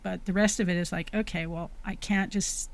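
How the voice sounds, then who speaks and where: A woman's voice: fairly low pitch, steady tone, normal speed, vocal fry. Earnest, reflective woman. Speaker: podcast host. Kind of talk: casual conversation.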